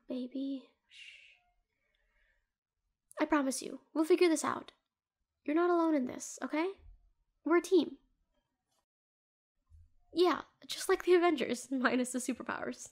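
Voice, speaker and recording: Casting: actress as a female doctor for intimate audio drama, high voice, earnest, tender and fiercely protective of a hurt ex. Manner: earnest, soothing, hushed at times, fierce when protective. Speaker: voice actor in an audio roleplay. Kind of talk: scripted intimate audio roleplay addressed to the listener.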